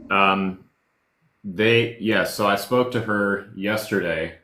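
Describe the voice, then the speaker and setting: A man's voice: on the low side, slow, sharp tone. A man telling a story in a laid-back casual chat. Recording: echoey room.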